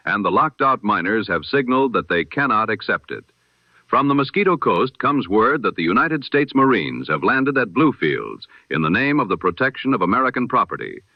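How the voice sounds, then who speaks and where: A man reading the news in the formal, measured style of an old-time radio broadcast. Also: on the low side, no room sound. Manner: measured, formal newsreader delivery. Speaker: news announcer. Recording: dull lo-fi radio-style sound.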